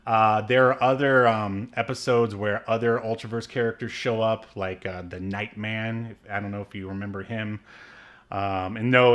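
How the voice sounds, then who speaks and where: A man's voice: fairly low pitch, fairly bright tone. A man co-hosting a podcast in casual chat, rambling with many fillers and restarts. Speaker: podcast co-host.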